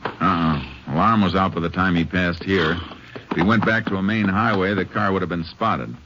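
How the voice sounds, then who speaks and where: An actor playing a terse, authoritative Texas Ranger in an old radio western, plain and matter-of-fact. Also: male voice, sits low, steady tone, normal speed, noisy background, lightly acted. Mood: brisk, businesslike. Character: stern, terse Texas Ranger lawman, also narrating. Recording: dull old radio drama recording.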